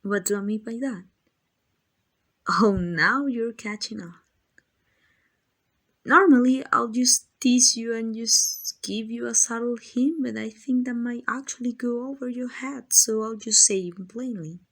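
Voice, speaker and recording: A woman's voice: fairly high pitch, unhurried, a bit bright. A woman voicing an arrogant, possessive female vampire in an ASMR roleplay, teasing and condescending to the listener. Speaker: amateur voice actor.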